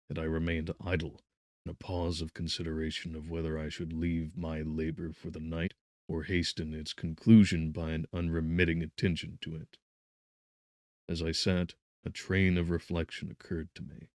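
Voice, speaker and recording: A man reading Gothic horror as an audiobook narrator, solemn and theatrical, swelling with emphasis and dropping to a hush. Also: rumbling low, no echo, clean studio sound. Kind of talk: dramatic scripted narration of Gothic horror fiction.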